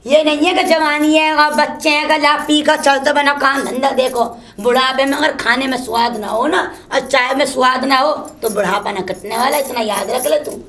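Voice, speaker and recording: A woman playing a shrill, cursing old mother-in-law who keeps scolding her daughter-in-law. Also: fairly even intonation, moderate pace, few pauses, fairly bright tone. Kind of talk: comic one-woman sketch.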